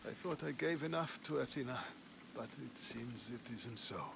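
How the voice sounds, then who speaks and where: An actor playing an ailing older statesman in a game cutscene, halting, grave and resigned, weary and regretful but still proud. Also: mid-range voice, vocal fry, background noise, natural voice. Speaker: video game character actor. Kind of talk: dramatic game cutscene acting. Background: background music.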